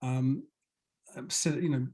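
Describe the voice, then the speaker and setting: A man's voice: medium pitch, slow, warm tone. A man hosting an interview, asking questions casually and gushing with enthusiasm. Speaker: interview host.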